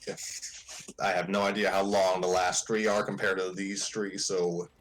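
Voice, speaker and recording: A man's voice: sits low, flat delivery, normal speed, fairly bright tone, faint music behind, rough recording. A man co-hosting a livestream in casual, conversational chat. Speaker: co-host of a story-reading livestream.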